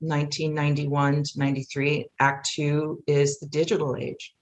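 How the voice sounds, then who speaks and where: A woman's voice: low voice, little pitch movement, moderate pace. A middle-aged American woman talking candidly and animatedly as a guest in a casual interview. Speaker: guest, playwright. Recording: roomy echo.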